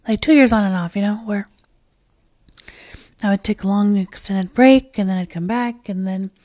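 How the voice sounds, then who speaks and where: Hoarse, raspy woman podcast host. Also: medium pitch, moderate pace, dark tone, no room sound, studio quality. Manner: rambling and candid, with frequent fillers and restarts; swears casually. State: hoarse, losing her voice. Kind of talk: casual solo podcast chat.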